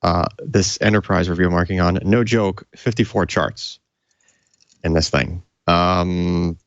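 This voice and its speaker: A man's voice: low-pitched, steady tone, measured pace, no echo, lo-fi sound. A man co-hosting a tech show, talking casually in informal, hesitant phrases. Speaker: tech show co-host, hardware reviewer. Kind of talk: casual tech podcast chat.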